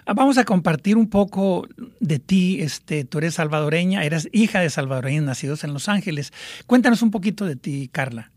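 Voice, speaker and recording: Higher-voiced Mexican man hosting radio. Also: animated, normal speed, dry recording. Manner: warm rambling with many fillers. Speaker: radio host. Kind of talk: casual radio interview.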